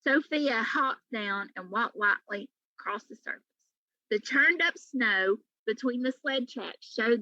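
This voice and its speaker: A woman's voice: a little high, pushed and bright, dry recording, dull audio. A woman reading a children's story aloud as a teacher would for pupils. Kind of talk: storybook read-aloud narration.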